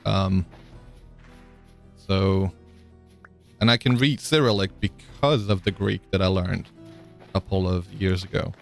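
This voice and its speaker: A man's voice: sits low, fairly even intonation, measured pace, gravelly, long pauses, faint music behind. A man live-streaming a game, chatting casually, thinking aloud with frequent ums and answering viewers in chat.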